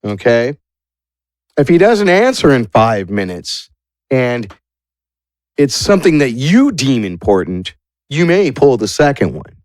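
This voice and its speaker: Animated man running a tabletop game. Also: mid-range voice, a little slow, long pauses, no echo. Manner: playful, improvised. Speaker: game master. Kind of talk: casual tabletop game narration.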